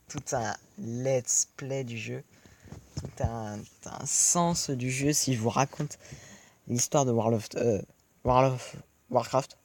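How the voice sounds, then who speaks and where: A man talking through a tank game for his viewers in a rambling, chatty way. His mid-range voice carries a gravelly, creaky edge. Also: medium pitch, a little mellow, no room sound. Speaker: gaming video creator. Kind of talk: let's play commentary.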